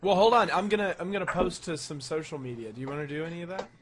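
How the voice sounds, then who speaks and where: A man's voice: fairly high pitch, fast talker. A man co-hosting a casual podcast, wisecracking and teasing the others. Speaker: podcast co-host.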